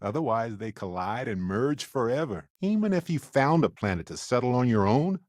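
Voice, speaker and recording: Witty space explorer, hugely expressive. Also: male voice, mid-range voice, moderate pace, no room sound, clean studio sound. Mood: reflective, sincere. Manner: wry, talkative, theatrical, expressive. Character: witty, genial male space explorer and scientist, adult. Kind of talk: video game character voice lines.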